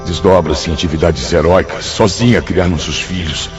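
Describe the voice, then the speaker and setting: A man playing a very deep-voiced, despairing husband. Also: brisk, natural voice. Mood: despairing, tender. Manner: mournful, formal. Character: despairing, mutilated husband, tender and defeated. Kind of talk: radio drama acting. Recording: dull audio under prominent music.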